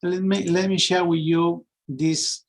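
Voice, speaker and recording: A man's voice: high voice, little pitch movement, slow pace. A middle-aged man with an Argentine Spanish accent presents a webinar informally, searching for words in his second language. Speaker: sales and marketing executive, webinar presenter.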